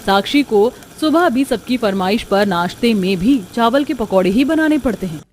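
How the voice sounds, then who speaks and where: A woman's voice: a little high, animated, moderate pace, a little mellow, a little hiss or hum. A woman reading scripted narration for a children's cartoon story.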